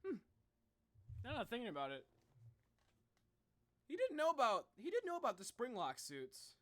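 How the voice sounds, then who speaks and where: A young man chatting casually to viewers on a livestream in an informal, animated way. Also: very high voice, normal speed, dry recording. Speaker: live streamer.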